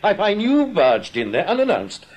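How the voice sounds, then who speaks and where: A man playing a smooth, domineering English bank manager in a radio drama, with a condescending, clubby manner. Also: high-pitched, huge pitch swings, moderate pace, exaggerated voice, low-quality recording. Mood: indignant. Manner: condescending, old-school clubby address. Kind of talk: British radio drama acting.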